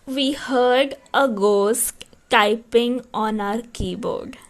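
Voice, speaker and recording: A woman's voice: fairly high pitch, extremely slow. A woman co-hosting a podcast, chatting casually and reading a story, reacting with murmurs and laughs. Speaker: podcast co-host.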